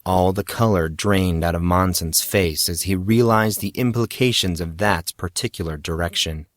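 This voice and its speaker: A man's voice: deep voice, moderate pace, no room sound, clean studio sound. A man reading fantasy fiction as a dramatic audiobook narrator.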